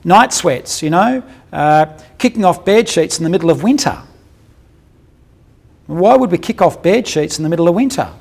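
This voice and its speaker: A man's voice: a little high, animated, moderate pace, vocal fry, darkish tone. A man giving a clinical dental lecture built around case examples, talking bluntly and colloquially, cracking jokes and putting questions to his audience.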